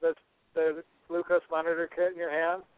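Higher-voiced man hosting over a tinny line. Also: completely flat delivery, moderate pace, smooth, no echo. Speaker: podcast host. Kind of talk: casual live show chat, announcements. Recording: narrow, tinny phone-like broadcast line.

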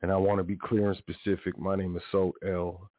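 A man's voice: level tone, fairly quick, no echo. A man hosting his own show, talking in a rambling, casual monologue full of restarts and asides. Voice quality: deep voice. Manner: rambling, frequent self-corrections and "you know". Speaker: podcast host. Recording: dry, phone-like narrow sound.

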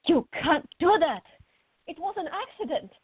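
A woman's voice: very high-pitched, big pitch swings, moderate pace, no echo. A woman playing a fiery, impulsive, stubborn teenage girl in radio theater, exclamatory and easily flustered. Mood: indignant. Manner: exclamatory, flustered, muttering to herself. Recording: tinny, phone-like narrow band.

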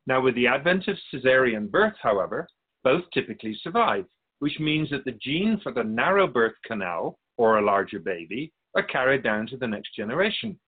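A man playing a wise, patient otherworldly spirit in a radio drama, explaining things in a measured, gently pedantic way with a husky voice. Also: medium pitch, sing-song, narrow, tinny audio. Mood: explanatory, measured. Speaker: spirit being interviewed. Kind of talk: scripted radio drama dialogue.

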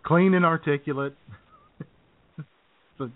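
A man co-hosting a comedy radio show who talks in halting, sarcastic asides full of "you know". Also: on the high side. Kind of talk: casual comedic radio banter. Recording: tinny phone-like line.

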